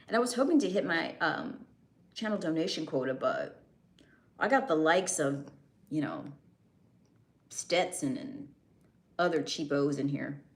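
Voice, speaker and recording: A woman's voice: low voice, lively intonation, a little slow, pauses often. Chatty American woman live streamer. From United States. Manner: chatty, answers chat comments, laughs often. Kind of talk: casual live-stream chat.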